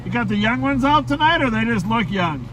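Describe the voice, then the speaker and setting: Chatty sheriff in a noisy patrol car. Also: male voice, extremely high pitch, expressive, fairly quick, distant mic. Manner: friendly, chatty, easygoing with the public. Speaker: sheriff hosting a live patrol stream. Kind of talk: casual chat from a patrol car. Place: inside a patrol car on city streets. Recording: loud road noise from inside a car. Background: engine and traffic noise.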